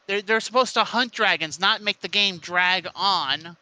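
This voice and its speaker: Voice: medium pitch, measured pace, sharp tone, no echo. A podcast participant chatting casually with friends over online voice chat.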